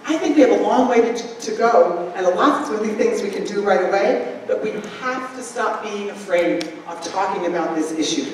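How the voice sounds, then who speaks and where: A middle-aged woman answering as a candidate at a forum, emphatic and forceful, into a handheld mic. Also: mid-range voice, expressive, slight background noise. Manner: emphatic, gesturing, fast and forceful. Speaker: political candidate. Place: large school gym, indoors. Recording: echoey gym from far off, crowd murmur.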